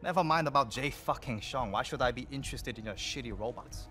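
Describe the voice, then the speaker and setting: A man's voice: medium pitch, brisk, sharp tone, faint music behind, lightly acted. An actor playing a brash, greedy crime boss in a video game, scornful and swaggering as he talks. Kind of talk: video game cutscene acting.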